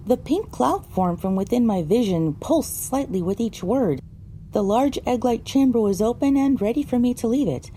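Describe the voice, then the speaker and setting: A woman reading scripted first-person audiobook narration in a measured, dramatic storytelling manner. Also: on the high side, lively intonation, warm tone, a little hiss or hum, natural voice. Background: music.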